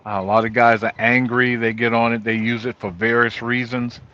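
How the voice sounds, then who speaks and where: A middle-aged or older man, a trucker, giving an informal, halting walkthrough of gear from inside his truck cab. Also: medium pitch, flat delivery, bright, forceful tone. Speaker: truck driver vlogger. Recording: dull lo-fi audio.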